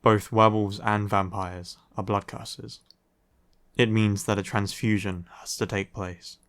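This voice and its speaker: A man playing a grumpy young male vampire in an intimate audio roleplay, curt and deadpan, with a reluctantly caring streak. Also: lowish voice. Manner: deadpan, curt, dry sighing delivery. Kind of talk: audio roleplay, intimate ASMR-style acting.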